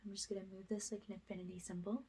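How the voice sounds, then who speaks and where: A woman speaking in a hushed, often whispered way, guiding a spiritual ASMR energy cleansing as a healer. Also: mid-range voice, flat delivery, rapid-fire, slight background noise.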